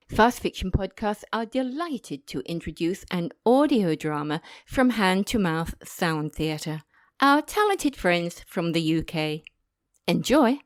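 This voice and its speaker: A woman's voice: lowish voice, no echo. A woman presenting a podcast with a scripted, theatrical introduction. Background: music.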